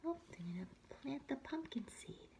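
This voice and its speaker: A woman teacher reading a picture book aloud to young children, expressively, with breathy asides and questions to her listeners. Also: sits high, sing-song, normal speed, dark tone, slight background noise.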